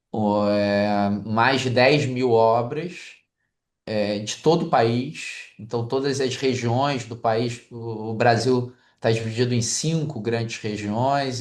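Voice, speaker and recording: A man from Brazil with a Brazilian Portuguese accent, a museum director, answering interview questions hesitantly with many filler sounds. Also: mid-range voice. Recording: echoey room.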